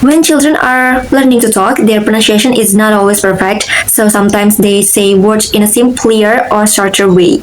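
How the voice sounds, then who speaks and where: A woman's voice: medium pitch, moderate pace, few pauses, slight background noise, low-quality recording. A young adult woman presenting a study to her class, explaining it steadily in simple terms. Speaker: student presenter.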